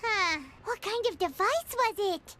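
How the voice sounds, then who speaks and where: Casting: a very high, bouncy little fairy sidekick, bubbly, lively swings. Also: female voice, a little slow, slight background noise, heavily acted. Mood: chirpy. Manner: bubbly, talks about herself by name. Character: tiny chirpy fairy companion, excitable. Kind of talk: video game voice acting.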